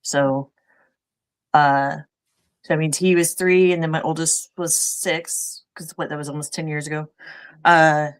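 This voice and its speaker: A woman guest on a podcast, answering in a halting way and searching for words with many fillers. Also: deep voice, steady tone, clear, resonant tone. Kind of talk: conversational interview answers.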